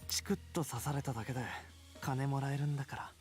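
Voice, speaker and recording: A man's voice: mid-range voice, steady tone, rapid-fire, breathy edge, close to an everyday voice. An actor voicing a flippant, easygoing young man in a Japanese visual novel. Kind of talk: Japanese game voice acting. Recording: quiet game audio under music.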